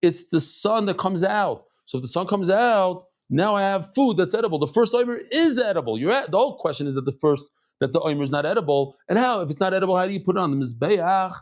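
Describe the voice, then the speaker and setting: A middle-aged man teaching a casual daily Talmud class in a lively, chatty way, mixing in Hebrew and Yiddish terms. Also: high-pitched, fast talker, hardly any pauses.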